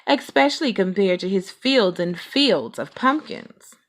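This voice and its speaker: A woman voicing a sensible, motherly mama bear in a children's storybook, firm and gently reproving. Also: medium pitch, animated, a little slow.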